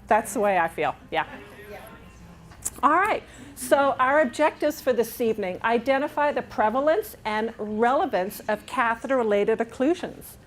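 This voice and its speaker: A middle-aged woman from England, with no accent left, giving a lively, chatty clinical talk to a room of nurses. Her voice sits a bit high, and she keeps turning to the audience for agreement. Faint room ambience sits behind her, picked up from a camera across the room. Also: moderate pace, a little hiss or hum. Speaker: nurse educator. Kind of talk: conference presentation. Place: dining room with large windows.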